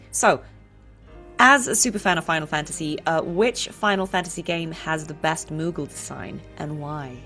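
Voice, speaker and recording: Low-pitched woman hosting a playful interview. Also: moderate pace, a bit bright, faint music behind. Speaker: interview show host and voice actor.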